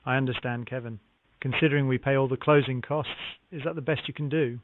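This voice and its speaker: A man's voice: mid-range voice, fairly even intonation, quick pace, no echo. A man working as a real estate phone agent, speaking politely in a scripted sales-call style with formulaic acknowledgements. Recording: narrow, tinny phone line.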